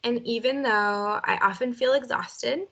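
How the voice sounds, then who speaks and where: Bright gravelly woman leading tapping. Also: fairly high pitch, unhurried, highs cut off. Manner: gentle, encouraging, leads phrases for repetition. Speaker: tapping session leader. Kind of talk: guided emotional freedom technique session.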